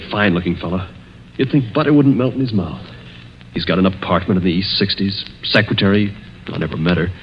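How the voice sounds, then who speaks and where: An actor playing a bitter, desperate, struggling chemist in a 1940s radio mystery, halting and earnest. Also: male voice, fairly low pitch. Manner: halting, earnest, brooding delivery. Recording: muffled, noisy 1940s radio recording.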